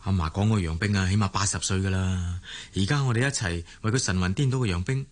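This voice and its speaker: Man playing a lowish-voiced, sceptical young Cantonese man. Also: quick pace, natural voice. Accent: Hong Kong Cantonese. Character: down-to-earth young grandson, loyal and sceptical friend. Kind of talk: radio drama acting.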